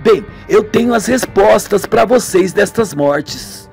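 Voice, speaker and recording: A man's voice: high-pitched, lively intonation, slow, creaky, a little mellow, pitched above the performer's own voice, exaggerated voice. A man voicing a knowing, eager young man who explains things in an amateur radio soap, with music. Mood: confident.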